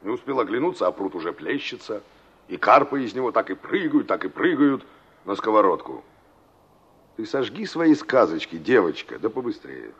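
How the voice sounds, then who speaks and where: Gruff mocking carpenter, husky, old soviet radio drama, kinda muffled sound. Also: male voice, on the low side, big pitch swings, moderate pace, a bit bright, exaggerated voice. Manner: sardonic, condescending. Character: brusque, mocking carpenter, older than the girl he talks to. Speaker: actor. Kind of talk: Soviet radio play acting. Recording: dull, muffled 1960s radio recording.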